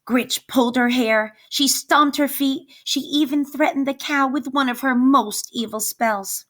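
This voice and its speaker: A woman's voice: sits high, steady tone, unhurried, fairly bright tone. A woman reading a children's picture book aloud, emphatic and sing-song in her narration. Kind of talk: expressive children's storybook narration.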